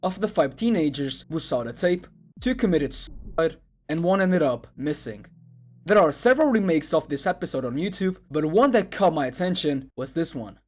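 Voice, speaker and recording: Guy doing a scripted video essay voiceover, slightly high voice, really varied pitch, music underneath. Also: expressive, normal speed. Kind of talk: scripted narration about internet horror videos. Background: background music.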